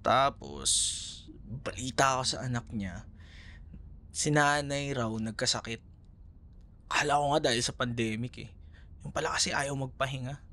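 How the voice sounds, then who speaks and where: A man's voice: mid-range voice, unhurried, pauses often, pushed and bright. A man with a Filipino accent playing a young, caring, teasing ex-boyfriend in a casual, hesitant ASMR roleplay conversation. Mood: flustered, hesitant. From Philippines. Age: young adult.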